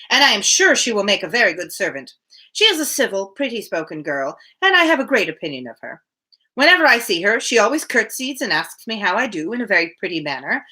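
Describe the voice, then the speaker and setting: A woman's voice: mid-range voice, sharp tone, husky, exaggerated voice. A woman voicing a nervous, fretful old father in a storybook reading, plaintive and fussy. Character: nervous, fretful, gently selfish old widowed English father.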